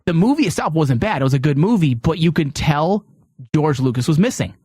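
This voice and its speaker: A man's voice: a little high, animated, a little fast, dark tone, dry recording. A man hosting a studio podcast in a casual, chatty style with teasing banter. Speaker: podcast host.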